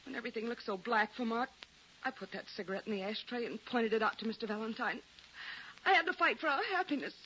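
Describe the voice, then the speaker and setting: High, pleading radio-drama wife. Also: female voice, quick pace, pushed and bright. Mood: desperate pleading. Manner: pleading, intense. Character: earnest, desperate married woman defending the man she loves. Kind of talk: 1940s radio melodrama acting. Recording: dull, hissy 1940s radio recording.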